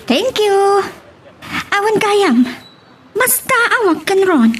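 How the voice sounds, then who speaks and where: A chatty young working woman, acted by a woman in a romance audio drama. Her high voice moves up and down in a lively way. There is faint noise behind her. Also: very slow, exaggerated voice. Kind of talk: Ilocano radio-style love drama acting.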